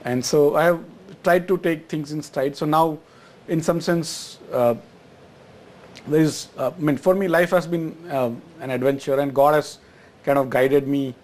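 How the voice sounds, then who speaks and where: A man's voice: fairly high pitch, a little slow, darkish tone. A middle-aged man from Gujarat, answering interview questions with many fillers and restarts. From Gujarat, India. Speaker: guest, exchange chief executive.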